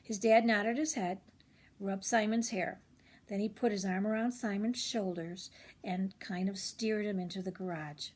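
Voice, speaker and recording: A woman's voice: medium pitch, steady tone, measured pace, darkish tone. An older woman reading a children's chapter book aloud expressively, slipping in chatty little asides to young listeners. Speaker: children's read-aloud host.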